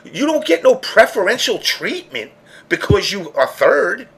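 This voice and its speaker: Animated high-voiced man arguing. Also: measured pace, poor audio. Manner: emphatic, argumentative, rising to high pitch when pressing a point. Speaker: podcast co-host. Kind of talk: heated casual debate.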